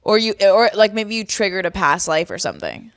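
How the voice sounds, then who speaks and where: A woman's voice: mid-range voice, sing-song, brisk, no echo, studio quality. A young woman from Boston co-hosting a podcast, rambling and musing in casual chat. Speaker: podcast co-host. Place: Miami home.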